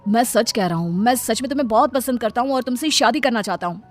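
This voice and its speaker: A woman's voice: a little high, animated, quick pace, voice pushed up, close to an everyday voice. A woman voicing an earnest, lovestruck young man in a storybook tale, pleading and insistent. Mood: smitten. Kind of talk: storybook character dialogue. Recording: background music under the voice.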